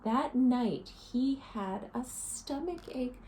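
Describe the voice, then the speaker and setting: A woman in her thirties speaking as a teacher to young children, reading a picture book and explaining it simply in a deliberate, instructive way. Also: a little high, lively intonation, warm tone, slight background noise. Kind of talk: expressive picture-book read-aloud and simple lesson for children. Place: small living room, indoors.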